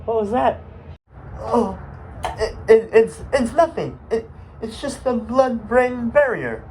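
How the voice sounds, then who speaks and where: A man's voice: very high-pitched, lively intonation, slow, a little mellow, a little hiss or hum, voice pushed up, full character voice, rough recording. A man voicing a timid, eager-to-help cartoonish cell in an educational comedy skit, hesitant and stammering.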